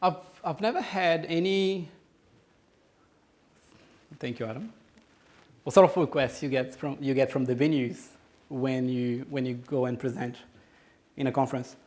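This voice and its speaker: A man with a Brazilian accent answers questions from the floor after giving a conference talk. His voice sits at a middle pitch, and he hesitates often, with ums and restarted phrases. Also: unhurried, long pauses, darkish tone. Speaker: conference speaker. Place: conference room.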